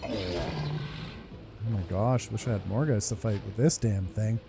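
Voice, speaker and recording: A man giving casual gameplay commentary as a video host, excitable, sweary and informal. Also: fairly low pitch, a little slow, gravelly. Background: game music.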